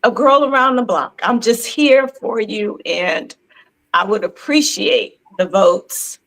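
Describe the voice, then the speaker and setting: A woman in her fifties with a New York accent speaks in an unhurried, deliberate way, stacking up earnest affirmations one after another. She talks over a video call, her voice sitting at a middle pitch. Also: a bit bright, low-quality recording. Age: 50s. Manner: slow, earnest, affirming repetition. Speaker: resale boutique owner, award finalist. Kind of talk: virtual meet and greet interview.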